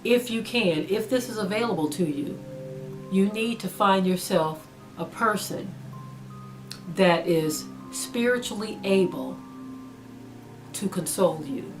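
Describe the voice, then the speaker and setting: A woman's voice: mid-range voice, unhurried, pauses often, over music. A middle-aged woman preaching in an earnest sermon style, repeating phrases for emphasis.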